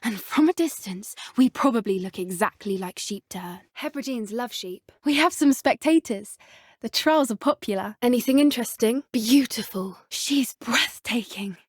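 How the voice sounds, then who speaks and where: A woman with a British accent voicing an earnest, gentle, soft-spoken teenage schoolgirl witch who loves magical creatures, for a video game. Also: a little high, lively intonation, moderate pace, breathy edge, no echo, clean studio sound. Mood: warm, curious.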